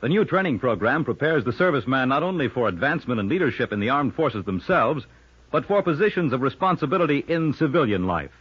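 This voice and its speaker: A man's voice: mid-range voice, sing-song, fast talker, slightly rough. A man reading a scripted 1950s radio announcement in an earnest, emphatic manner, on a muffled old recording.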